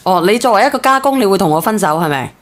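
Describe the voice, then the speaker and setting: A woman's voice: medium pitch, lively intonation, racing. A woman with a Hong Kong accent hosting a call-in chat, blunt and pressing in her questions, with coarse slang. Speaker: call-in show host.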